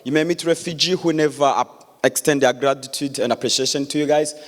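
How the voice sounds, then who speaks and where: A man's voice: medium pitch, steady tone. Earnest man, echoey hall. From Democratic Republic of Congo. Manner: earnest, halting, with many fillers and restarts. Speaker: guest speaker. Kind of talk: public speech at an event.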